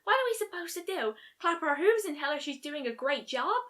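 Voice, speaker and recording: A woman plays a cocky young female pony with a forceful, bright voice. She is blunt and sarcastic but loyal, and her cartoon delivery is very animated. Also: high-pitched, brisk, pitched above the performer's own voice. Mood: urgent, sarcastic.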